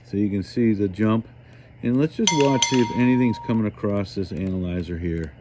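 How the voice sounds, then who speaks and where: A man giving a casual hands-on radio demonstration as host, thinking aloud in a halting way. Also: lowish voice, noisy background. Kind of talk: casual hands-on demonstration, talking while working.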